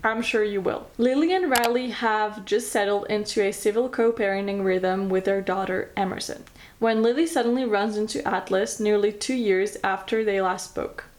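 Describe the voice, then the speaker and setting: A woman's voice: medium pitch, normal speed. French woman chatting about books. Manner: enthusiastic, chatty, non-native phrasing. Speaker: book vlogger. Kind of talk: casual book recommendations, reading blurbs aloud.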